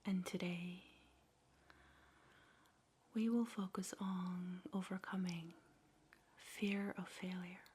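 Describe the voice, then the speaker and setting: A woman speaking in a soft, breathy whisper, slowly and gently guiding a meditation in an ASMR style, with measured pauses. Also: lowish voice, flat delivery, a little hiss or hum.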